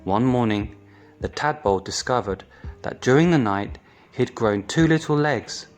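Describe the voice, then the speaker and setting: A man reading a children's picture book aloud as storytime reader, deliberate and pausing between short phrases. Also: mid-range voice, lively intonation, soft background music.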